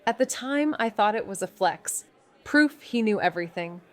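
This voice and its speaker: An actress playing a wry, watchful young amateur sleuth in a noir audio drama, dry and understated. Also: female voice, mid-range voice, expressive, moderate pace, some chatter behind, close to an everyday voice. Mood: pensive. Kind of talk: noir audio drama dialogue and first-person narration.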